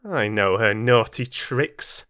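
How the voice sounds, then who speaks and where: A man voicing a sharp-tongued maidservant who speaks with scorn and disgust in a storybook reading. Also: lowish voice, slow.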